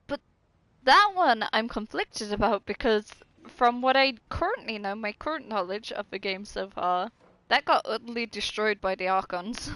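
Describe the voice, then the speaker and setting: A woman's voice: on the high side, a bit bright, no echo. A woman game streamer chatting casually over gameplay in a giggly, rambling, hesitant way.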